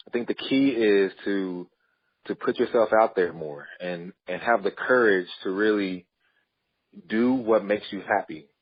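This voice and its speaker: Earnest husky-voiced man, muffled audio. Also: fairly low pitch, no room sound. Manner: earnest, with some repeated starts. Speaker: podcast guest. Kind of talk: storytelling interview answers.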